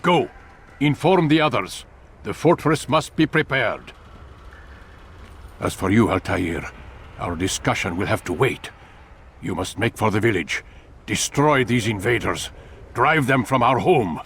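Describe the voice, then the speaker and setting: An actor playing a proud older master of assassins in a video game, speaking with measured, theatrical authority. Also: male voice, fairly low pitch, expressive, fairly bright tone, slightly rough, exaggerated voice. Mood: stern, commanding. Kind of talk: video game cutscene acting.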